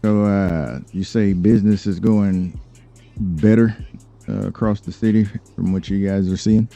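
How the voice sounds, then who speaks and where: A man playing a calm, smooth crime-boss lawyer in an improvised game roleplay, talking in an unhurried, businesslike way with pauses. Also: lowish voice, lo-fi sound, soft background music. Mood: measured. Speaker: gaming streamer playing a character.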